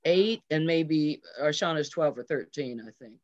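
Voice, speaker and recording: A woman's voice: sits low, expressive, moderate pace, darkish tone. A woman chatting as a director on a panel, explaining in a casual, stop-and-start way over a video call. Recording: dull, lo-fi video-call audio.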